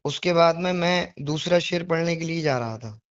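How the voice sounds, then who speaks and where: A man with a somewhat high voice talks over a dull, lo-fi phone recording. He is apologetic and insistent, justifying himself in earnest conversation, often repeating his point and asking questions he expects agreement to. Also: moderate pace, no echo. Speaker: devotional poetry reciter on a phone call. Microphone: phone call.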